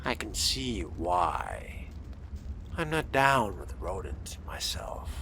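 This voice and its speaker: A man's voice: low-pitched, very animated, takes it slowly, sharp tone, heavily acted. A man voicing a reluctant, sardonic young man newly turned vampire in a game role-play, with a dry, understated delivery. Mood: wary, questioning. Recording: clear background ambient noise.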